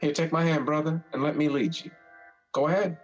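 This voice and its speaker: A man's voice: a little high, fairly even intonation, fairly quick, close to an everyday voice, low-quality recording. An actor playing an encouraging, poetic older brother in an audio drama, coaxing as he speaks. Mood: gently urging. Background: music.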